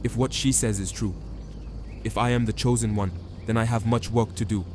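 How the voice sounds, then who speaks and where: A man playing a troubled hidden observer who narrates a fantasy drama in hushed, halting phrases. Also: fairly low pitch, steady tone, background noise, lightly acted. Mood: resolute. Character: watchful hidden observer, troubled chosen one, resolute. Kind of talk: first-person dramatic narration. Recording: music behind the voice.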